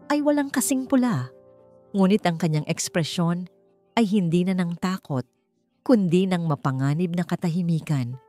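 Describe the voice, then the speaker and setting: A woman storyteller reading dramatic scripted narration with solemn, deliberate phrasing. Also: on the low side, darkish tone.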